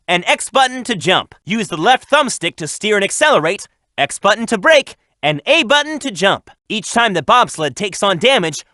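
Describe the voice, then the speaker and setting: A man voicing a cocky, energetic young cartoon hedgehog hero in a video game, brisk and confident. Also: sits high, dry recording, studio quality, exaggerated voice. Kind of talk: video game character voice acting, tutorial hints and quips.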